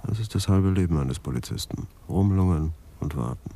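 A man's voice: deep voice, lively intonation, a little fast, gravelly, dark tone, full character voice. A male actor playing a dry, sardonic veteran police adjutant in a German radio crime drama. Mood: wry. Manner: wry, ironic, laid-back.

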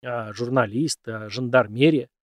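A man's voice: mid-range voice, normal speed, dry recording, close to an everyday voice. A man voicing a curious young prince and pupil in an audiobook, questioning and grumbling. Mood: indignant. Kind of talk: audiobook character dialogue.

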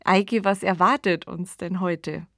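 A woman's voice: fairly low pitch, steady tone, slow, fairly bright tone, dry recording, clean studio sound. A woman introducing a programme as a podcast host.

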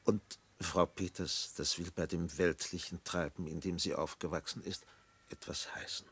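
An actor playing a solemn, probing old village pastor in a radio play, with a gravelly, husky voice and a measured, formal, unctuous manner. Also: male voice, low-pitched, steady tone, fairly bright tone. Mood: gravely concerned. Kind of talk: German radio play acting.

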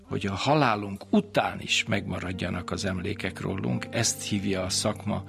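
A man's voice: low voice, gravelly, over music. A man giving an explanatory public lecture. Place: community centre talk.

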